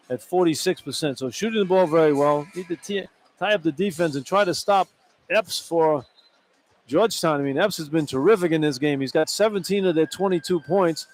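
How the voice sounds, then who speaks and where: Man, American accent, basketball color commentary, conversational, fairly high pitch, light background noise of an arena. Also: normal speed. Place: broadcast position inside a large indoor arena. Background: arena ambience and noise.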